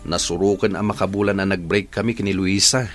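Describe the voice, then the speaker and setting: An actor playing a devoted, long-suffering young boyfriend in a radio drama, earnest and yielding, with an Ilocano accent. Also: male voice, low-pitched, fairly even intonation, a little fast, soft background music, lightly acted.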